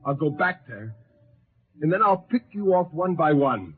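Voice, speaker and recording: A man's voice: a little high, big pitch swings, a little slow, husky, exaggerated voice. An actor playing a bitter, resolute renegade spaceman in a 1950s radio drama, speaking in brooding, idealistic tones. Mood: bitter, defiant. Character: bitter, resolute renegade spaceman mourning dead Martian civilization. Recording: tinny, noisy 1950s radio recording.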